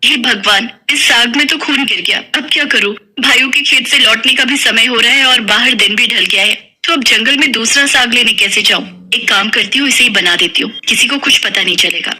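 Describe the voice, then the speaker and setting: A woman voicing a gentle, devoted young village sister in a folk tale, tender and anxious to please. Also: on the high side, normal speed, hardly any pauses, husky, exaggerated voice, low-quality recording. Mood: worried.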